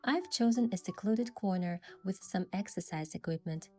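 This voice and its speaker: A woman playing a gentle, thoughtful young heroine, narrating her game story softly and in measured scripted first person. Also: medium pitch, faint music behind, natural voice.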